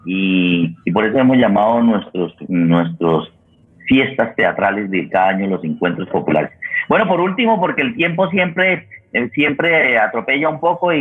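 A Colombian man hosting a conversational interview, speaking reflectively and haltingly as he searches for words. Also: medium pitch, husky. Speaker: radio host and theater practitioner. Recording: thin phone-like line.

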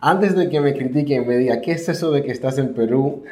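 A man hosting a podcast interview, greeting listeners and asking questions in a friendly, relaxed way, in an office. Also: medium pitch, a little fast. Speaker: podcast host. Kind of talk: conversational interview. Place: office room. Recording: roomy echo.

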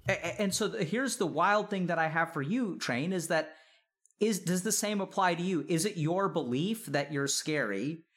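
Reflective, probing man with a slightly higher voice. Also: lively intonation, normal speed, a bit bright. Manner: reflective, probing, builds points step by step with questions. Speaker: interviewer and counselor. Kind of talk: conversational counseling interview.

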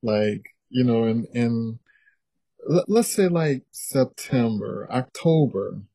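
A man's voice: mid-range voice, expressive, slow, vocal fry, mellow, dry recording. A man appearing as a guest on a podcast in a casual chat, laid-back and joking.

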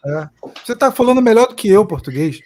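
A man's voice: high voice, expressive, fast talker, a crowd in the background. A Brazilian man hosting a casual live stream, chatting and reading out viewer comments.

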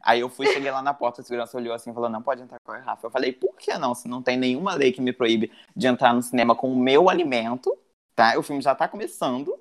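A man's voice: medium pitch, fast. Brazilian man telling a chatty story. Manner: storytelling, many fillers like "tipo" and "assim". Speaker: podcast host. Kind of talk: casual chat. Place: living in Portugal.

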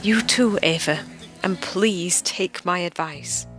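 A woman's voice: on the low side, slow, fairly bright tone, soft background music. An actress playing a worried female friend in an audio drama, speaking in a concerned, coaxing way. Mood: gentle concern. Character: worried, gently pleading woman friend.

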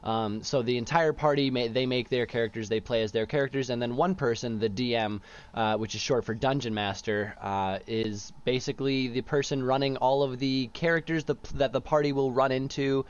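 A young man talks easily and loosely, drifting through fillers and false starts as he explains things to his friends. His pitch sits in the middle range, and the audio is muffled and lo-fi. It sounds like an unpolished student podcast. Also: brisk, clean tone. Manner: relaxed, rambling with fillers and restarts. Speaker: student podcast co-host, game master. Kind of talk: casual chat. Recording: dull lo-fi audio.

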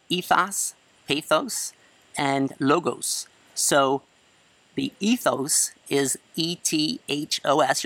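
Man, 40s, high school English teacher, loud chatty self-assured delivery, bright forceful voice, outdoor courtyard. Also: dry recording. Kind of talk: class introduction video lecture. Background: crickets and chirping.

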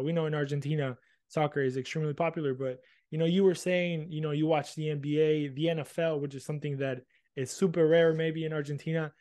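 Higher-voiced male podcast host. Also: a little mellow. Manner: rambling questions full of you know and restarts. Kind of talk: casual interview hosting.

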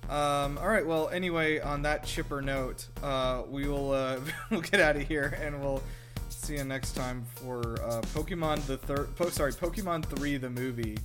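Male podcast host, lighter-pitched, wry and teasing, informal film banter, some music underneath. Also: normal speed. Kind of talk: casual film reaction chat.

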